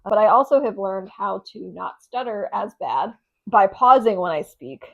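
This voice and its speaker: A woman's voice: medium pitch, animated, normal speed, a bit bright, lo-fi sound. A young woman vlogging casually on a chest lapel mic, chatty and rambling, with a slight lisp and stutter. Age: young adult. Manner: chatty, rambling, restarts often. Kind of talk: casual vlog chat. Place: home, indoors.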